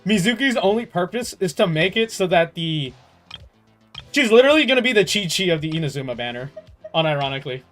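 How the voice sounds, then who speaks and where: A young man chatting casually on a game livestream in an animated, slangy way, swearing freely. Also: high-pitched, brisk, soft background music. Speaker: game streamer.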